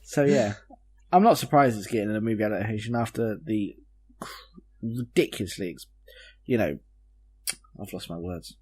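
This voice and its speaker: A man's voice: lowish voice, moderate pace, long pauses, a little mellow, husky. A man chatting casually as a podcast panelist, a bit hesitant and rambling, with a joking manner. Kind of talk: casual group chat.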